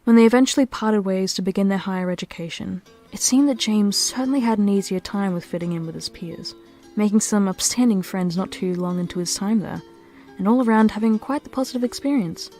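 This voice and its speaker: A woman's voice: mid-range voice, fairly quick, warm tone, faint music behind. A woman reading scripted storytelling narration for an audio roleplay.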